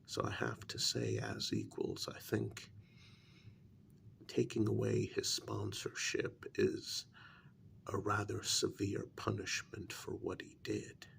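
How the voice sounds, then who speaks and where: A man acts out a gentle, reasoning male confidant in a vampire ASMR role-play. The voice is soft and fairly low, full of creaky fry, and often sinks into a whisper. He speaks very slowly and tentatively, weighing each phrase. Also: level tone, slight background noise, full character voice. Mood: tentative, earnest. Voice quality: soft, breathy voice dropping into whispers. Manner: hesitant, measured, gently reasoning.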